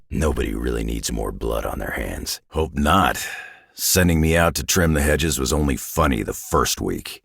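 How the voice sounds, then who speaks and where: An actor playing a gruff, century-old mutant superhero loner in a video game, with a gravelly North American voice and a dry, sardonic, clipped delivery. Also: male voice, extremely low pitch, a bit bright, no echo, heavily acted. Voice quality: gravelly, husky growl. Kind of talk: video game character voice lines.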